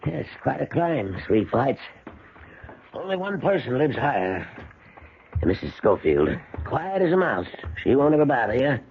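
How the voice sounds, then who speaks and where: An actor playing a chatty old-fashioned landlord in a 1970s radio drama, with folksy, hesitant phrasing. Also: male voice, medium pitch, sing-song, a little slow. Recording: tinny vintage radio broadcast, faint hiss.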